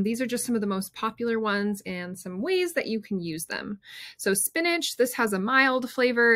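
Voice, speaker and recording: Bright-voiced woman giving a nutrition talk. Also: medium pitch. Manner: friendly, steady pace with frequent ums. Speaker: nutrition educator. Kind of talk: slide presentation talk. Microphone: webcam recording, screen share.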